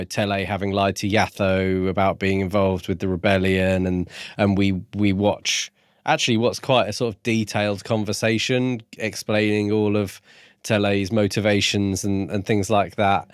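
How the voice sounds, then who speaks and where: A man with a fairly low voice co-hosts a casual book podcast. He thinks aloud in a reflective, somewhat hesitant way, circling toward his point. The recording is dry and close, with no room sound. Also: clean tone, dry recording.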